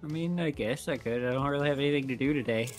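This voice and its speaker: A man's voice: mid-range voice, fast talker, soft background music, close to an everyday voice. A man voicing a laid-back husband and dad with casual, dry teasing in a game roleplay.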